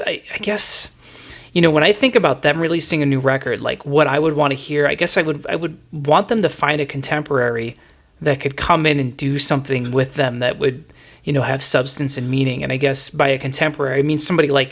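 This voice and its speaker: A man's voice: fairly high pitch, a little fast, fairly bright tone. A man hosting a casual music discussion, talking in a rambling, opinionated way. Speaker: music podcast host.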